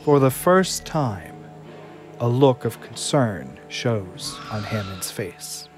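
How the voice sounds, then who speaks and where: A man reading stage directions aloud as narrator in an even, descriptive way. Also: mid-range voice, slow. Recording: loud music underneath.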